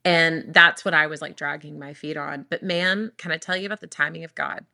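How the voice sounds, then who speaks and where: A young adult woman, a worship singer, tells her story in an interview, talking quickly and animatedly with frequent restarts. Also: deep voice, fairly even intonation, creaky, sharp tone. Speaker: worship singer and recording artist.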